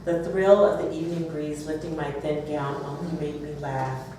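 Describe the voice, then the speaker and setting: A woman's voice: low voice, little pitch movement, normal speed. A woman author speaking and reading aloud at a live event. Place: bookstore, audience event. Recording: roomy, distant, noisy live event recording.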